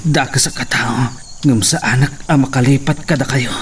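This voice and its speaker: A man's voice: mid-range voice, moderate pace, slight background noise, close to an everyday voice. Man acting as a humble, pleading ranch hand. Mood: anguished, defiant. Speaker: drama actor. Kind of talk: Ilocano radio-style drama acting.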